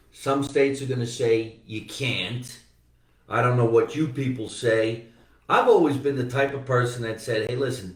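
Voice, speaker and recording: A man's voice: medium pitch, reverberant, recorded from a distance. A man hosting a comedy podcast, rambling in casual chat with joking asides. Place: room in Florida.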